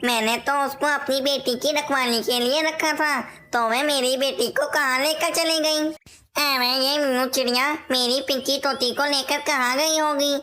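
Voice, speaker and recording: A woman's voice: high voice, fairly even intonation, a little slow, sharp tone. A woman voicing an anxious, indignant father parrot who rules as king in a cartoon story. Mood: worried. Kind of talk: cartoon moral-story dialogue.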